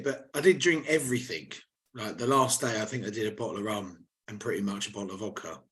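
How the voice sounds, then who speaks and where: Hoarse man, laddish banter. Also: medium pitch, fairly bright tone. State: slightly hoarse. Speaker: podcast co-host. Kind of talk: casual chat.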